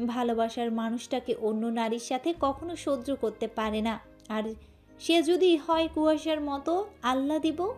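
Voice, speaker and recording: High-voiced woman narrating a romance story. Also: darkish tone.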